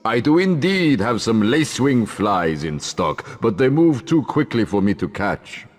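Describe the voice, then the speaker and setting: An actor playing a dry, courteous wizard pet-shop keeper giving instructions in a video game. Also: male voice, lowish voice, sing-song, measured pace, long reverb tail. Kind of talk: video game character acting.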